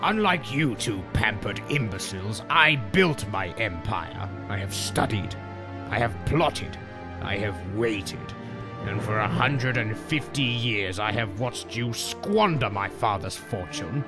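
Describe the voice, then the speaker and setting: Man acting a low-voiced sinister, scornful schemer. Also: expressive. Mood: cold menace. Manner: dry, sarcastic, menacing, measured. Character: sinister, exasperated, scheming old long-lost brother, contemptuous mastermind. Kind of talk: theatrical comic dub acting. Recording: music behind the voice.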